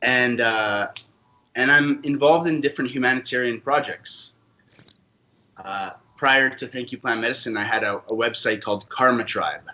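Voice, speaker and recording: Bright-voiced earnest man telling his story. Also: medium pitch, moderate pace, slight background noise. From Canada. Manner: earnest, open personal storytelling. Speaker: podcast guest. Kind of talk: casual interview talk.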